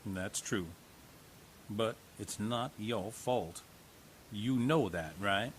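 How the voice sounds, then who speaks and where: A man playing a folksy, gently joking investigator who reassures a young witness. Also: lowish voice, extremely slow, slight background noise. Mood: reassuring.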